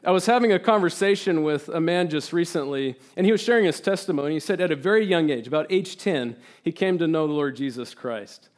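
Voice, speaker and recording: Earnest man preaching, slightly high voice. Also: a little fast, hardly any pauses. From Turkey. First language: Turkish. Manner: earnest and animated, repeats words when emphasising, reads scripture aloud. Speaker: guest preacher. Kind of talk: sermon.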